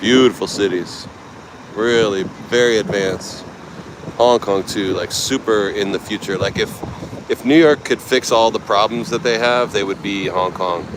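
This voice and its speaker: Low-voiced American man chatting on a noisy street. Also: a little slow. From United States, California. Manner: rambling, laid-back, chatty. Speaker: travel livestreamer. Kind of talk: casual livestream chat, answering viewers. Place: outdoors at a night market by the beach. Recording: noisy outdoor street recording.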